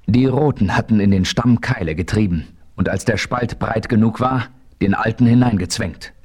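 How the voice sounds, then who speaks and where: A man playing the calm, authoritative hero who narrates in the first person, in a radio play. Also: on the low side, normal speed, husky.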